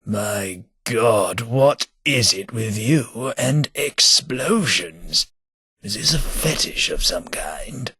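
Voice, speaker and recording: A man with a British accent voicing a sardonic, world-weary male ghoul companion in a video game, with a dry, sarcastic, upper-class wit and a husky voice. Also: mid-range voice, expressive, slow, pushed and bright, no room sound, exaggerated voice. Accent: British English.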